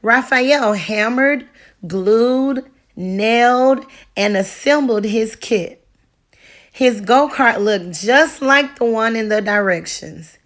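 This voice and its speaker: A woman reading a children's picture book aloud with deliberate, expressive storytelling, like a teacher to a class. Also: a little high.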